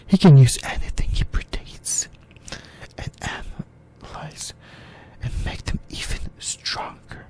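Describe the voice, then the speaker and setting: An ASMR host of unclear sex, whispering a scripted explainer in a breathy, halting way, often repeating and correcting phrases. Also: medium pitch, darkish tone. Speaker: host of an anime ASMR channel.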